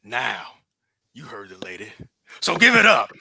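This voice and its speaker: An actor playing an effusive preacher in a comedy table read, theatrical and punctuating his phrases with a breathy "ha". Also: medium pitch, huge pitch swings, a little slow, pushed and bright, no echo, exaggerated voice. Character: showy, effusive preacher hosting a community rally.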